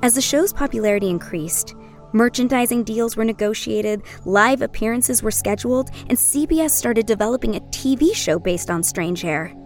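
An actress playing a reflective young woman, narrating a scripted documentary in a measured way. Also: on the high side, animated. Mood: measured, wistful. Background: music.

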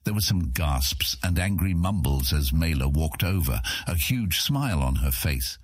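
A man's voice: extremely low pitch, animated, unhurried, slightly rough, no room sound, clean studio sound. A man narrating a scripted fantasy audiobook in a dramatic storytelling style.

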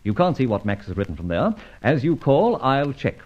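Gentleman rogue, hugely varied intonation, sardonic, theatrical, polite menace, muffled vintage radio recording. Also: medium pitch, very animated, moderate pace. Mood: mock-courteous. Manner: sardonic, theatrical, mock-courteous. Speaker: lead and narrator of radio serial. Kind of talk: old radio drama acting.

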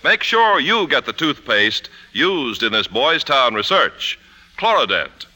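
A man's voice: medium pitch, very animated, takes it slowly, bright, forceful tone, rough recording. An American man reading announcements and commercials on 1950s radio in an emphatic, polished sales delivery. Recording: 1950s radio broadcast, music behind.

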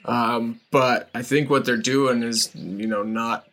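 A man's voice: medium pitch, steady tone, slow pace, a bit bright. A man voicing a rambling, odd old hermit who mumbles absurd boasts.

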